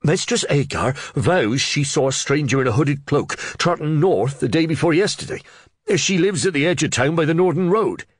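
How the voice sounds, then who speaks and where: A flustered village reeve, high-pitched and breathless, blurting everything out at once, as a male narrator puts him on. The words tumble out in an eager, gushing rush over a dry, close reading voice. This is storybook audiobook character work. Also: lively intonation, moderate pace, husky, no echo.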